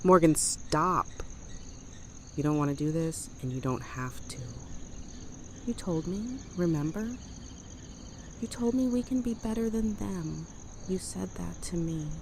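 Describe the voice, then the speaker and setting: A woman's voice: fairly low pitch, measured pace, pauses often, noisy background. A woman playing an earnest female survivor who implores others in an audio drama. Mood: urgent pleading.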